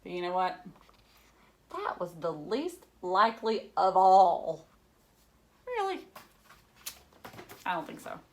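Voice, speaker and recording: A woman's voice: lowish voice, sing-song, slow, long pauses. A woman reading a picture book aloud to children, theatrically, with questioning asides to the young listeners.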